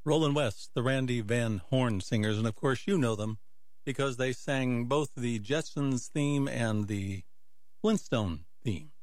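A man's voice: medium pitch, measured pace, darkish tone. A man hosting a music radio show, chatting informally and casually as he introduces old records. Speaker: radio host.

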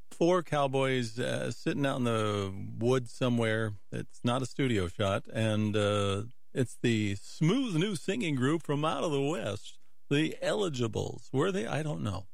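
A man with a gravelly voice hosting a radio music show, chatting casually with rambling, folksy asides to himself. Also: mid-range voice, expressive, measured pace, dry recording, studio quality. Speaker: radio host.

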